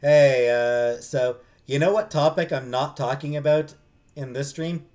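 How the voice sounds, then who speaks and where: A man's voice: medium pitch, a little slow, darkish tone. A man streaming a game, reading the story aloud expressively and dropping into casual asides.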